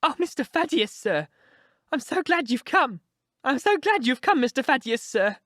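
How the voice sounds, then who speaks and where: Bloke doing an old housekeeper lady in an audiobook, super high voice, fussy, anxious, devoted. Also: big pitch swings, moderate pace, pushed and bright, no echo, clean studio sound, exaggerated voice. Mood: overjoyed relief. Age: older. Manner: fretful, effusive, repetitive.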